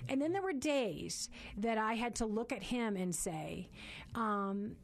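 A woman in her forties hosting a faith-based parenting talk, loud and fast-talking, earnest and prone to repeating words as she restarts. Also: on the high side, animated, vocal fry.

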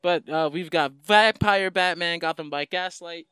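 A man's voice: on the high side, unhurried, pushed and bright, clean tone, no echo, clean studio sound. A man co-hosting a podcast, talking casually and slangily like an enthusiastic fan recapping comics. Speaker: podcast co-host.

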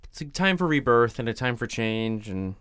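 A man's voice: medium pitch, sing-song, normal speed, a bit bright, clear, resonant tone, no echo, clean studio sound. A man co-hosting a podcast in casual, conversational chat. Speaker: podcast co-host.

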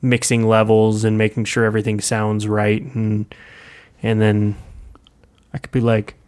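A man's voice: on the low side, completely flat delivery, a little slow, a little mellow, smooth. A man co-hosting a casual podcast, laid-back and agreeable, filling his talk with "like" and "yeah". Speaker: podcast co-host, musician. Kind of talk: casual chat.

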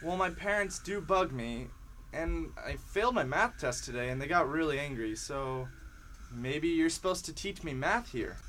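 A man's voice: on the high side, expressive, normal speed, fairly bright tone, slight background noise. A man playing an earnest, homesick young schoolboy in an amateur radio drama, who cries out when startled. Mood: curious.

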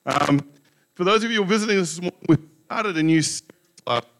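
Gravelly bright-voiced New pastor. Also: male voice, high voice, expressive, fast talker. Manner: chatty, self-joking, many fillers. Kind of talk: casual sermon to a congregation. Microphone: handheld microphone.

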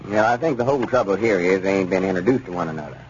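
A self-important old Southern storekeeper , played by a man, in a 1930s radio comedy, with a fairly low voice and a rural Arkansas drawl. He is folksy, know-it-all and easily exasperated. The recording is dull and faintly noisy. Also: very fast, darkish tone, natural voice. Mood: explaining.

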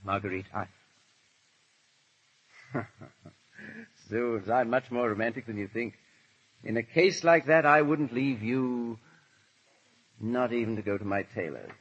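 An actor with an English accent playing a foppish, witty aristocrat hiding deep feeling, with urbane banter in a 1930s radio drama. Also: male voice, animated, unhurried, long pauses, fairly bright tone, husky. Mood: teasing, tender. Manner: languid, urbane, drawling banter. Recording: muffled vintage 1930s radio recording.

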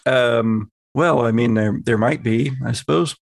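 A man's voice: medium pitch, unhurried, vocal fry, dry recording. A man acting as game master, narrating a horror tabletop roleplaying game in a conversational, improvised way. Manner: conversational, improvising scene descriptions.

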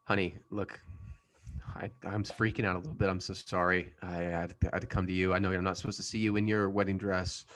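A man playing a distraught, exasperated bridegroom in an improvised comedy sketch, halting and anxious. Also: low-pitched, little pitch movement, a bit bright, voice pushed down. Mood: anxious apology.

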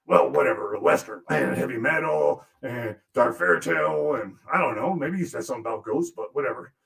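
A man's voice: mid-range voice, expressive, a little fast, creaky, fairly bright tone. A man voicing a crude, sarcastic male demon puppet who grumbles and swears through a comic monologue.

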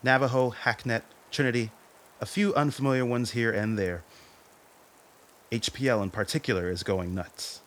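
An actor playing a dutiful military major reporting to a superior in a radio play, formal, clipped and serious. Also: male voice, medium pitch, steady tone, normal speed, lightly acted. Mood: concerned.

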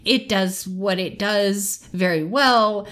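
A woman's voice: medium pitch, slow pace, fairly bright tone. A woman co-hosting a podcast in a casual, opinionated chat, with an emphatic, playfully argumentative manner. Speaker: podcast co-host.

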